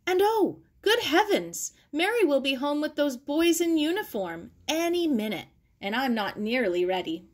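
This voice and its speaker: A woman's voice: sits high, big pitch swings, moderate pace, few pauses, voice pushed up. A woman voicing a kindly, flustered, emotional aunt in a storybook reading. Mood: flustered, bustling. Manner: emotional, exclaiming, fussing. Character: kindly, flustered, tearful aunt, a motherly housewife.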